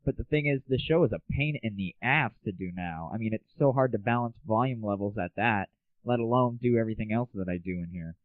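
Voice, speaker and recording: A man's voice: fairly low pitch, fairly quick, dry recording. A man hosting a casual solo show in an informal, self-deprecating way on a cheap home microphone. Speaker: show host. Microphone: cheap malfunctioning home microphone. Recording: tinny lo-fi home recording, faulty microphone.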